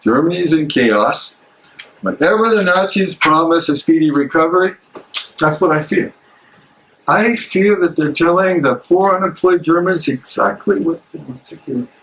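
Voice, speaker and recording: A man acting a troubled, earnest German theologian in a live dramatic reading, speaking haltingly. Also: fairly high pitch, expressive, fairly bright tone, low-quality recording. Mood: worried, wavering. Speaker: actor in a dramatic play reading. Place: public library meeting room. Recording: live library room, faint background noise.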